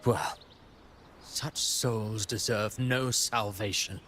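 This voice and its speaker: A man's voice: lowish voice, fairly even intonation, takes it slowly, fairly bright tone, slight background noise. A man playing a grandiose, self-assured mastermind villain in a video game cutscene, composed and eloquent, with faint ambience behind.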